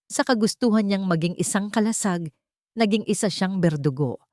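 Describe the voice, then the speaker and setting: A woman's voice: mid-range voice, no room sound, clean studio sound. A woman narrating a scripted love story in a suspenseful, theatrical way.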